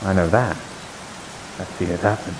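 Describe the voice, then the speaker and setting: A man playing a cautious, reserved, learned character in an improvised fantasy roleplay, gravelly, with a measured, hesitant delivery. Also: deep voice, steady tone, noisy background, close to an everyday voice. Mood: inquisitive.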